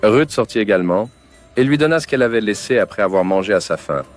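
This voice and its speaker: Low-voiced male story narrator. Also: animated, quick pace, faint music behind. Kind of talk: scripted Bible story narration.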